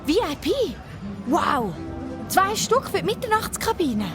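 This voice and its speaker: A woman's voice: extremely high pitch, big pitch swings, unhurried, full character voice. An actress playing a cheerful, easily thrilled romantic young woman in a Swiss German children's radio play. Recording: music behind the voice.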